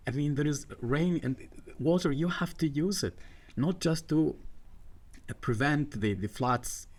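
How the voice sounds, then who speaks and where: A middle-aged man from Egypt, a guest on a podcast, speaking with many fillers and restarts. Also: medium pitch. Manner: halting, many fillers and restarts, non-native grammar. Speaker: water management guest. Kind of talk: podcast interview.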